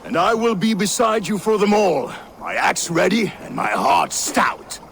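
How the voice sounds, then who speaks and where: A man's voice: high-pitched, animated, unhurried, creaky, fairly bright tone, slight background noise, heavily acted. An actor playing a cheerful, eager young male Viking warrior in a video game. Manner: hearty, eager.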